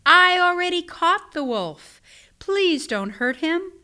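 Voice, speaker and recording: A woman's voice: extremely high pitch, big pitch swings, takes it slowly, voice pushed up, heavily acted. A woman voicing a brave, eager young boy in a children's story, calling out boldly. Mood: urgent. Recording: loud music behind.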